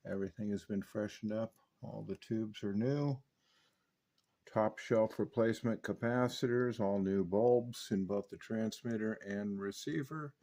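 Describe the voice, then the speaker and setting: A man with a soft, mellow voice of average pitch talks as a repair technician. He walks a customer through a hands-on demonstration, explaining each step in a methodical way. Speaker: radio repair technician presenting his restoration work.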